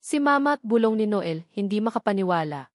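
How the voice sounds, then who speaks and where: A woman's voice: mid-range voice, animated, fairly quick, no room sound. Filipino woman narrating, dry close sound. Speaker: audiobook narrator. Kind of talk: scripted dramatic narration of a love story.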